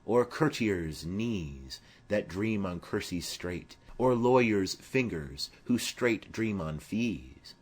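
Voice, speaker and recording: A man voicing a boisterous, witty young gentleman in a theatrical Shakespeare audiobook reading. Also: fairly low pitch, expressive, slow, few pauses, husky, heavily acted. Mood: fanciful, theatrical. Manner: theatrical, declamatory.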